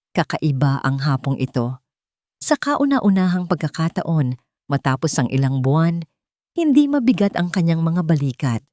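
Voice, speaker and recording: Woman storyteller, lowish voice, dramatic scripted narration, very animated intonation, dry recording. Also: dry recording.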